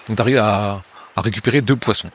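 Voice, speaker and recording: A man with a low voice guides a player through a tabletop horror game, describing the scene in the second person. He talks haltingly, with many hesitations and restarts. A faint noise sits under his voice. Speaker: game master.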